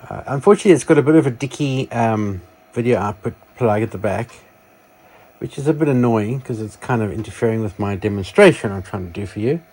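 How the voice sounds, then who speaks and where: A man's voice: fairly low pitch, fairly quick, darkish tone, husky, low-quality recording. A man casually presenting a piece of vintage electronics, rambling informally and correcting himself as he goes. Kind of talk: casual product show-and-tell.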